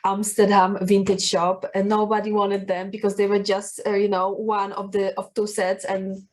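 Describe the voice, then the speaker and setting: A woman's voice: mid-range voice, completely flat delivery, moderate pace, a little mellow. Polish-accented woman giving style advice. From Poland. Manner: earnest and encouraging, with many fillers and restarts. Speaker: personal brand coach. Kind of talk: talking to camera, giving advice.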